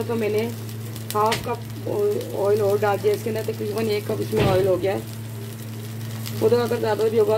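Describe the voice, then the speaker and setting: A woman with a Pakistani Urdu accent, hosting a cooking channel from her home kitchen and explaining each step slowly, often restarting and rephrasing. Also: mid-range voice, background noise. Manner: homely, explains slowly with many restarts and "yani".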